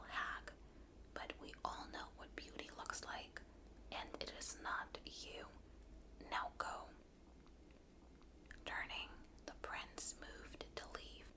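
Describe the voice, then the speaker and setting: A woman reading a story aloud in a hushed, soothing ASMR whisper, like a bedtime narrator.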